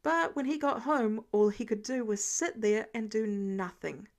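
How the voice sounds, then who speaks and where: A woman reading fiction aloud in an expressive audiobook narration style. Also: fairly high pitch, measured pace. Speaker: fan fiction reader.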